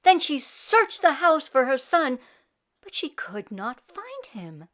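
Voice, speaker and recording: A woman narrating a children's storybook expressively, with deliberate dramatic emphasis. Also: sits high, big pitch swings, a bit bright. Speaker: read-aloud storyteller for children.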